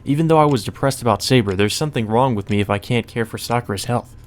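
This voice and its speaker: A man's voice: mid-range voice, quick pace, natural voice. A man playing a worried, earnest young male student from a visual novel, firm and exasperated as he scolds.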